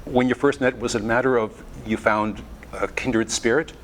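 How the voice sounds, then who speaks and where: A man's voice: mid-range voice, steady tone, normal speed, a little hiss or hum, poor audio. A man hosting an interview at a concert venue, asking questions with hesitant repeats. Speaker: interviewer, show host.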